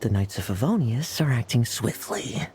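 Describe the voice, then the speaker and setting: A man's voice: mid-range voice, expressive, normal speed, darkish tone, soft background music, close to an everyday voice. An actor playing a guarded, earnest young male knight in a video game, speaking with intensity. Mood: grim, resolute.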